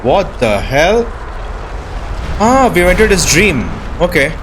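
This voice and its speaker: A man's voice: high-pitched, sing-song, slow, background noise. A man giving casual commentary while playing a game, muttering offhand reactions and reading dialogue aloud. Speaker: gameplay streamer.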